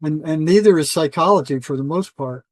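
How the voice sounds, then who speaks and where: An older man answering as an interview guest in a conversational, halting way, often repeating words and restarting. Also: fairly high pitch, dry recording. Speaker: interview guest, retired psychotherapist.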